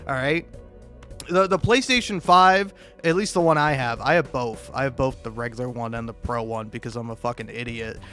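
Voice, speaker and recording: A man's voice: medium pitch, normal speed, pushed and bright, soft background music. A man doing casual, rambling YouTube gaming commentary, stammering and restarting often, with profane, self-deprecating talk.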